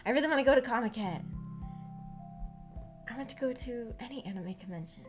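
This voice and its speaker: Young female streamer, slightly high voice, informal rambling delivery, music playing underneath. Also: expressive, brisk. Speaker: streamer hosting a visual novel book club. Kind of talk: casual livestream chat, reading and answering viewer comments. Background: background music.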